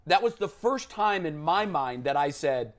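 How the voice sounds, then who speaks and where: A male sports talk host with a fairly high voice. He argues his points emphatically and builds them with rhetorical questions, as part of a panel discussion. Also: expressive, moderate pace.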